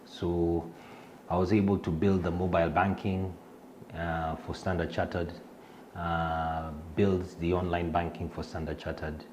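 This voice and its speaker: A man's voice: low voice, level tone, unhurried, noisy background. A middle-aged Kenyan man with a Kenyan accent, introducing himself in an interview, hesitant with many fillers and restarts. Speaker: fintech executive.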